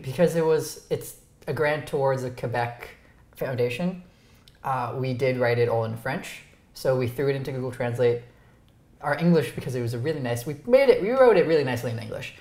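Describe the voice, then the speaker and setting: Low-voiced woman in an echoey room. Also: darkish tone. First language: Romanian. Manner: thoughtful, self-deprecating, trails off and restarts. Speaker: host. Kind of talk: casual dinner conversation. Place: home dinner table. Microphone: lapel mic.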